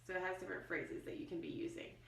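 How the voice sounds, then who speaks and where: A woman's voice: lowish voice, fairly even intonation, fast talker, creaky. A middle-aged American woman chatting informally as she explains things in a casual unboxing review. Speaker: homeschooling vlogger and product reviewer. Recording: roomy echo, distant mic.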